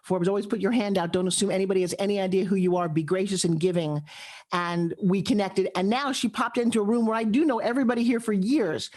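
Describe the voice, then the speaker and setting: A woman host who talks in a rapid, gushing rush, her voice sitting at an ordinary middle pitch. She pours out praise and keeps the conversation moving. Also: steady tone, a little mellow. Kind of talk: live audio room chat.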